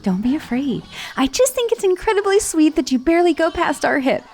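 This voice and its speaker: A bubbly female titan head, voiced by a woman, fussing and gushing over a tiny stranger in an ASMR roleplay. Her voice sits very high and swoops lively through every line. She talks in a prim, doting way. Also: brisk.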